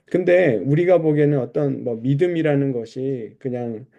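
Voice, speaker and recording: Male preacher with a very dark, mellow voice. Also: medium pitch, moderate pace, gravelly, muffled recording. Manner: explanatory, poses questions to listeners. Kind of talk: expository Bible sermon, conversational teaching.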